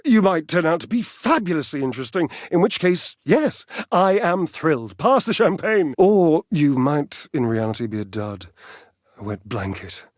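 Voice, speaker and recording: An actor playing a vain, athletic talking appliance in a dating game, pompous and petulant, with flamboyant, condescending, wordy delivery. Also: male voice, a little high, animated, moderate pace, no room sound, studio quality. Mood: haughty teasing. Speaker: video game character actor. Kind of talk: theatrical dating-game character acting.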